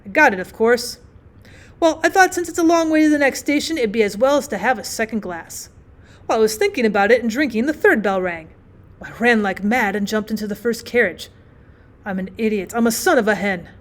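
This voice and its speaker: A woman voicing a giddy, boastfully happy newlywed gentleman in an audiobook, tipsy, effusive and rambling. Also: on the high side, expressive, fairly quick, lightly acted. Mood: flustered, cheerful. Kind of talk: acted audiobook storytelling.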